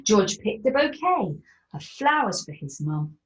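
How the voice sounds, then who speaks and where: A woman reading a rhyming picture book aloud in an animated, theatrical way. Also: medium pitch, a little slow, highs cut off. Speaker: narrator.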